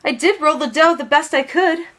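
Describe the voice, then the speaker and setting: A woman's voice: sits high, moderate pace, bright, forceful tone, pitched above the performer's own voice. A woman voicing a spirited young girl in a storybook, earnest and exclamatory. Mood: apologetic.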